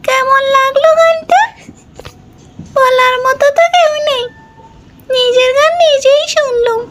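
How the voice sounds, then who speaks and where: A woman voicing a playful girl ghost in a children's cartoon, with a drawn-out sing-song manner. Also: very high voice, a bit bright, heavily acted. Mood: chatty, amused.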